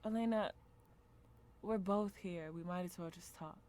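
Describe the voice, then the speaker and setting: Woman acting as a low-voiced, guarded old friend. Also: unhurried, darkish tone, lightly acted. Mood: hurt, reproachful. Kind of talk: naturalistic audio drama acting.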